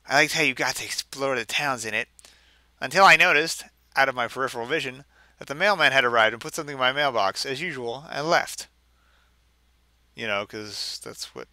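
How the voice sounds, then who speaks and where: A man's voice: medium pitch, bright, forceful tone, no echo. A man doing a mocking dramatic read-aloud for a gaming stream, breaking off for sarcastic, exasperated asides. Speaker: video game streamer reading a story aloud. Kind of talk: mocking dramatic reading with casual commentary.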